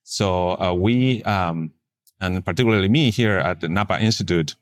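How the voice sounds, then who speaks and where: A man's voice: sits low, normal speed. A man from Chile with a Chilean Spanish accent, Spanish his first language, answering interview questions as a nonprofit fundraiser, hesitant with many fillers. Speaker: pro-life nonprofit fundraiser.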